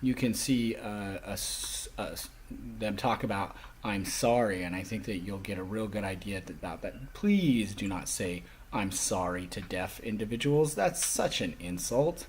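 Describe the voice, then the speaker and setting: A man voicing a classroom lecture as an interpreter, speaking emphatically in halting phrases with stressed key words. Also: lowish voice, animated, darkish tone, slight background noise.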